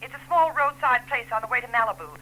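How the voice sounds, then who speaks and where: A woman's voice: a little high, fast, sharp tone. An actress playing an anxious married woman pleading urgently over the telephone in a 1940s radio drama. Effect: telephone filter. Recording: old noisy radio recording with hum.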